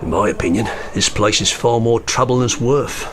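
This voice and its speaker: A man acting a brisk, condescending British Army recruiting officer in a radio play. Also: medium pitch, close to an everyday voice. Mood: patronising. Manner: brisk, patronising. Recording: faint noise and room ambience.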